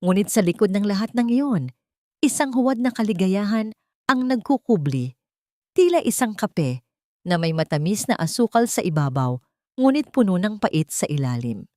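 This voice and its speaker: A woman reading a scripted story in an expressive, melodramatic storytelling manner. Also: medium pitch, moderate pace, dry recording, studio quality. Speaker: narrator. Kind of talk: dramatic scripted story narration.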